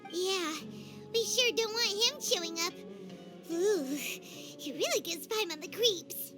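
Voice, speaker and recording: A woman voicing a small floating fairy companion, a naive, excitable little girl with a squeaky voice, in a video game. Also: takes it slowly, music in the background. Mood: uneasy. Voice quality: squeaky, childlike. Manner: refers to self in third person, naive, excitable. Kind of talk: video game character acting.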